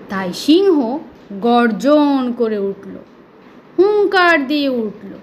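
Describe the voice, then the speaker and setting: A woman with a high voice tells a children's story. Her delivery is slow, expressive and dramatic, each phrase set out deliberately. Faint noise sits behind the voice.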